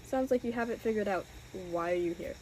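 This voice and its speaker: Woman doing a wry tired detective narrating an old-time radio case, medium pitch, understated. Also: a little fast, a little mellow, lightly acted, rough recording.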